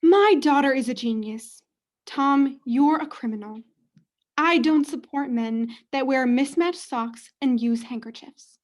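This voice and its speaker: A woman's voice: high voice, a little slow. A young woman acting in a radio play as a doting, haughty, oblivious mother with a gushing, melodramatic manner. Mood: smug. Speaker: student actor in radio play.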